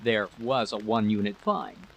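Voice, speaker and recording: A man's voice: on the low side, very animated, measured pace, gravelly, fairly bright tone, slight background noise. A man narrating a scripted story as a literary reader.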